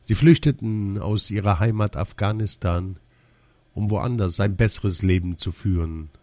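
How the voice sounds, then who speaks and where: A man reading a first-person personal essay aloud in a slow, measured, deliberate way. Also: fairly low pitch, warm tone, dry recording.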